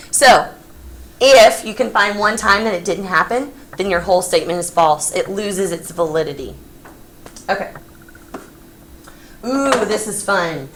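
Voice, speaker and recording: Woman teaching in echoey classroom. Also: medium pitch. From Texas. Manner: lively, playful, coaxing students. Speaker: high school geometry teacher. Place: classroom, recorded from the back. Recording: echoey classroom, faint room noise.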